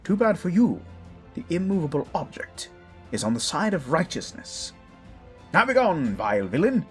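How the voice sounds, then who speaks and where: A man's voice: a little high, sing-song, moderate pace, soft background music. Man doing a grandiose, righteous fantasy hero. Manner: grandiose, declamatory. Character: righteous, bold adventurer proclaiming against villains. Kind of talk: fantasy audio drama acting.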